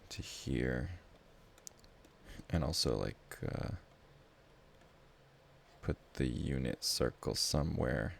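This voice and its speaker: A man's voice: rumbling low, takes it slowly, creaky, pauses often. A man tutoring math live in a casual, informal way, thinking aloud as he explains.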